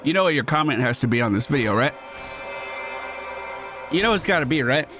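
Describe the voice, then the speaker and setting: A man giving casual gameplay commentary in an excitable way, given to swearing when thrilled. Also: fairly high pitch, fairly quick. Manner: excitable, profane exclamations. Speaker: gaming video host. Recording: game music behind voice. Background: video game music.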